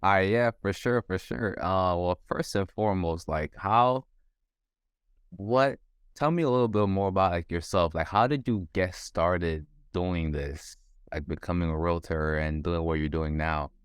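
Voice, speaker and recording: A man with a deep, smooth voice hosts a podcast in a relaxed way, his questions loose and dotted with fillers. The sound is dry and close. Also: measured pace, dry recording. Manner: easygoing, filler-heavy questions. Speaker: podcast host. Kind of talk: casual interview.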